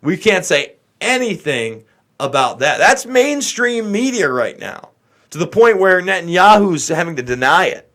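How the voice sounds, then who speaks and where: A man's voice: high voice, sing-song, measured pace, fairly bright tone. An American man giving casual, unscripted political commentary as a show host, in a blunt, exasperated, opinionated way.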